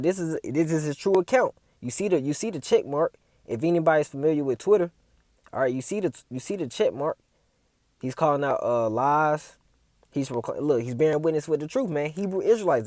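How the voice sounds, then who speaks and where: A man's voice: fairly high pitch, warm tone, dry recording, studio quality. A man giving a casual religious commentary as a preacher, reading scripture and tagging his lines with "man" and "all right?".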